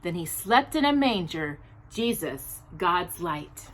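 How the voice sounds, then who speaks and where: A woman hosting a children's storytime, reading aloud expressively in a deliberate storybook manner with casual asides. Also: lowish voice, a little hiss or hum, rough recording. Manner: animated, deliberate storybook delivery.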